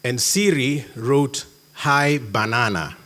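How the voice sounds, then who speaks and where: A man giving a conference talk in a hall, measured with sudden emphatic jumps. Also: medium pitch, sharp tone. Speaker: conference speaker.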